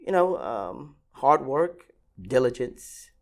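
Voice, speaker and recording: A man's voice: medium pitch, very animated, slow pace, creaky. A Vietnam-born ramp agent in his thirties, answering interview questions casually with many fillers and restarts.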